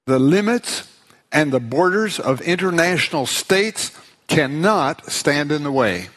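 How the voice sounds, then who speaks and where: Slow, deliberate male preacher. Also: a little high, animated, fairly bright tone. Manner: deliberate, rhetorical. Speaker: preacher giving a lecture. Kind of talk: sermon delivered in short phrases for an interpreter.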